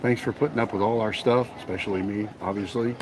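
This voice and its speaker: A man's voice: on the low side, fairly even intonation, quick pace, a crowd in the background, low-quality recording. An older man co-hosting a casual live stream, teasing his partner with dry humor. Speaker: co-host. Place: outdoors at a beach house.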